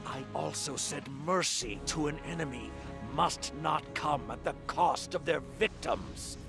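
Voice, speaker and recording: An actor playing a stern, grave old warlord with a gravelly voice in a video game cutscene. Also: male voice, music in the background. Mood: angry, reproachful. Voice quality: deep, gravelly. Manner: slow, solemn, weighty delivery.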